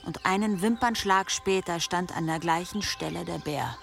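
An actress playing a determined village huntress in an audio drama, asking searching questions. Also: female voice, fairly low pitch, moderate pace, gravelly, faint music behind. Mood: intrigued. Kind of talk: German audio drama acting.

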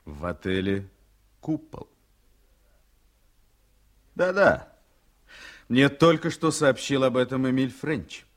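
An actor playing a sober, sceptical political associate in a radio drama, restrained and questioning. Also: male voice, medium pitch, sing-song, slow, pauses often. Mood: matter-of-fact.

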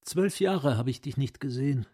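An actor playing a suave psychiatrist placating his brother in a radio drama, husky and ingratiating. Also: mid-range voice, expressive, moderate pace, dark tone. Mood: affectionate, reproachful. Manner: smooth-talking, ingratiating. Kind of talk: German radio drama acting.

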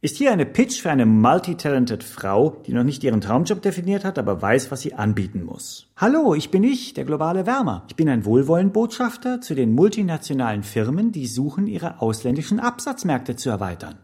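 Husky, wildly animated corporate pitchman. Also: brisk, hardly any pauses, full character voice. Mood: eager pitching. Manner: salesman-like, stilted broken phrasing. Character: eager self-promoting corporate networker pitching himself in garbled language. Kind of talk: satirical radio play sales pitch.

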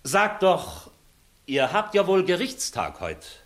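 A dignified, animated court inspector. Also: male voice, high-pitched, heavily acted. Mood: inquiring. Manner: formal, measured, official. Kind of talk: 1960s German radio play acting, classical stage.

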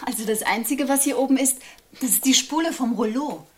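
A woman's voice: high-pitched, brisk, slight background noise, natural voice. An actress playing a patient wife who placates and reassures her husband in a radio drama. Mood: patient, placating. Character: patient, gently soothing wife of a paranoid man. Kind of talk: German radio drama acting.

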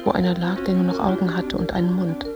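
An actress playing a dreamy, wistful younger woman who remembers and loves quietly, hushed and reflective in an intimate radio play. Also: lowish voice, completely flat delivery, fast talker, dull audio, over loud music, lightly acted. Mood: dreamy, remembering. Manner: hushed, reflective, often dropping to a whisper.

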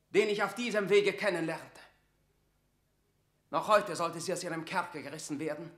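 Proud scheming lord in an old stage-verse radio play, high tense voice, forceful declaiming. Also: male voice, roomy sound. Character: stern, calculating courtier lord, proud and accusing. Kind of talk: classical German stage-verse radio drama.

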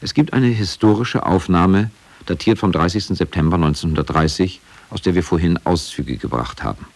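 A man reading scripted factual narration for a radio documentary in a measured, sober manner. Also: low voice.

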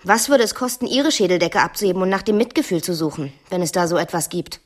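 An actress playing a coolly determined, menacing woman in a radio drama, putting pointed, deliberate questions. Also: fairly low pitch, lightly acted. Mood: cold menace.

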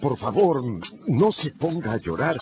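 An actor playing a courteous bank gentleman in a radio drama, speaking in a gentle, reassuring, formal way over music. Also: medium pitch, very animated, measured pace, background noise. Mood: soothing. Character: courteous, soothing English bank gentleman escorting a young lady. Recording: dull radio recording under prominent music.

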